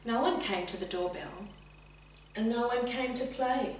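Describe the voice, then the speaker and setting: A middle-aged woman reading a children's story expressively and deliberately, addressing young listeners directly. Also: on the high side. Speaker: teacher reading a picture book. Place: indoors, sitting room with bookcase. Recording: very echoey room, distant mic, faint hum.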